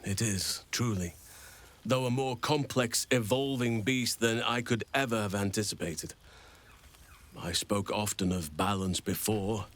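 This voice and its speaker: An actor playing a gentle, wise old druid man in a fantasy video game, speaking in formal, measured, earnest sentences. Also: on the low side. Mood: earnest, proud. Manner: formal, measured, eloquent speech.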